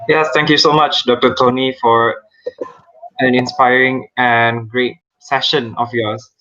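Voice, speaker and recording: A man's voice: medium pitch, little pitch movement, measured pace, sharp tone. A man moderating an online session, polite and formal as he reads out audience questions. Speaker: event moderator. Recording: muffled lo-fi video call.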